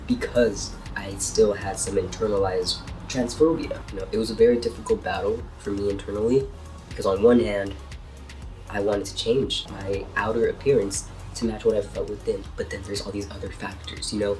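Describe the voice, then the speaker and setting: A man in his twenties with a cold and a congested voice, earnestly talking through personal experiences in a vlog. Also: on the low side. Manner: earnest, heartfelt, reflective. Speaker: vlogger. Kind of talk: personal vlog talk to camera. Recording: distant mic with soft music behind.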